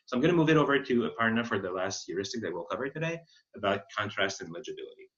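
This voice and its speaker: A man presenting a webinar in an explanatory, unscripted way, often halting and rephrasing mid-sentence. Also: fairly low pitch, animated, fairly bright tone. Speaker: webinar presenter, accessibility expert. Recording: slightly dull, lo-fi webinar audio.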